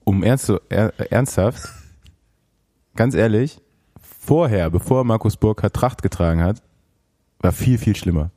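A man's voice: on the low side, a little slow, pauses often, mellow, no room sound, clean studio sound. A former pro cyclist chatting on a podcast, a man who talks in a laid-back way and keeps tagging his sentences with a questioning "right?". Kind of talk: casual podcast chat.

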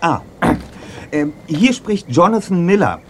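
A man's voice: high voice, sing-song, measured pace, noisy background. An actor playing a teenage boy detective who bluffs politely and a little hesitantly in an audio drama.

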